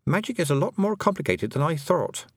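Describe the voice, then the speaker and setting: A man voicing a sharp-minded, earnest young girl in a raised voice for an audiobook. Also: a little high, big pitch swings, brisk, no echo, clean studio sound, pitched above the performer's own voice. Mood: thoughtful.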